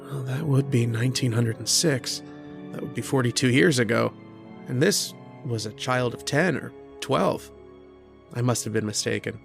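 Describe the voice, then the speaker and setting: A man acts a polite, curious lodger from a radio ghost story, in a medium-pitched voice with plenty of creak. He is measured and reflective, a little hesitant, with light music in the production. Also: lightly acted. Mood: brooding, reflective. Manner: hesitant in dialogue, brooding and measured in narration. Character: polite, curious male student lodger narrating a ghost tale. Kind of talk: old-time radio horror drama narration.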